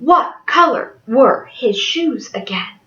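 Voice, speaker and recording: A young adult woman reading a picture book aloud to children in an expressive way. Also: fairly high pitch, slow pace, echoey room, dull audio. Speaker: early childhood education student reading to children. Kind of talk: picture-book read-aloud with sing-along.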